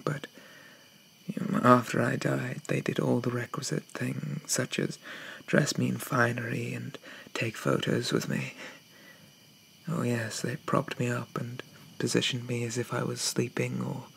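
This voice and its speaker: A man playing a shy, gentle, polite Victorian zombie in a soft-spoken ASMR roleplay, stammering and hesitant with old-fashioned courtesy. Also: mid-range voice, measured pace, gravelly, slight background noise, poor audio. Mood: shy, wistful.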